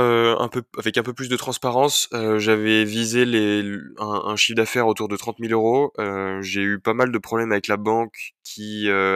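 A man's voice: on the low side, fairly bright tone, clean tone, dry recording, studio quality. A young man hosting his own podcast while he has a cold, talking casually with many fillers and restarts. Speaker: podcast host. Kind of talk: solo podcast chat.

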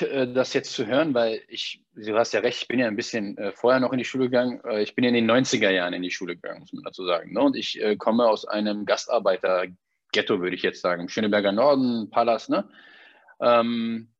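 A man's voice: medium pitch, fairly quick, no room sound. A man, casually telling personal stories and often checking in with a tag question. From Berlin, Germany. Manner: conversational, often tagging statements with a questioning "ne". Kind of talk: casual personal storytelling in conversation. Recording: dull, dry lo-fi recording.

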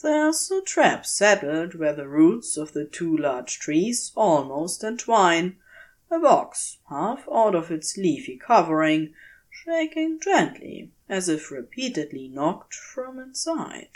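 A woman narrates fiction like an audiobook reader. Her voice sits a little low and she takes her time, measured and deliberate. The melody of her speech moves widely, giving the prose an expressive storytelling shape.

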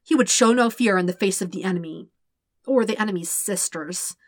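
A woman reading a story aloud as an audiobook narrator, with expressive scripted delivery. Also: medium pitch, a little fast, no room sound, studio quality. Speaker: podfic narrator.

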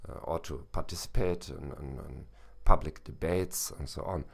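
Hoarse deep-voiced man with gravelly fry. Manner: halting, with many "uh" fillers and restarts. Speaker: podcast host and language teacher. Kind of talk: solo educational podcast talk.

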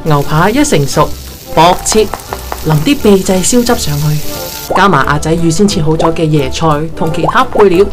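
A woman's voice: low voice, normal speed, natural voice. A woman playing a cheery, overconfident home-cook mother with a Hong Kong accent in a comic audio drama. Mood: proud presenting. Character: cheery, overconfident mother who fancies herself a chef. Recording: music and sizzling behind the voice.

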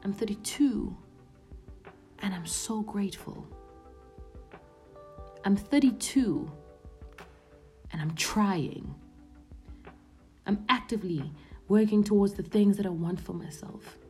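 A woman's voice: medium pitch, slow, long pauses, dark tone, soft background music. A woman in her thirties hosting a podcast, speaking in an earnest, reflective monologue that often sinks to a near-whisper. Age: 30s. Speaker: podcast host.

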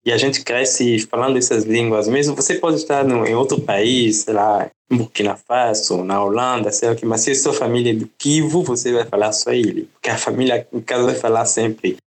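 A man from the Democratic Republic of the Congo, a native French speaker, explaining at length in a relaxed conversational interview. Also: mid-range voice, brisk, few pauses. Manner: relaxed, explanatory, often adds "né?" and "entende?". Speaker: podcast guest.

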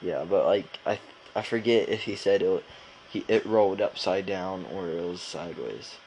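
Young dude podcast host, lowish voice, rambles with lots of likes, bit of background noise. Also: male voice, moderate pace, darkish tone, low-quality recording. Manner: rambling, filler-heavy, informal slang. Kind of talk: casual podcast chat.

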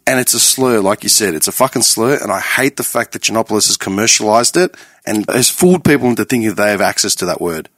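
A man's voice: fairly low pitch, quick pace, dry recording. A man, talking casually and emphatically with a lot of swearing. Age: late 30s. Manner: animated, profane, emphatic. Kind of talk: casual podcast chat.

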